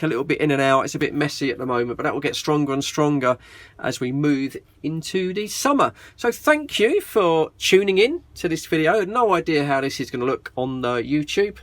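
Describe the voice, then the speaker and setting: High-voiced man chatting about his hobby. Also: fairly bright tone, no echo. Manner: chatty, informal. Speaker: video host and radio hobbyist. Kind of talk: casual radio chat and vlog commentary.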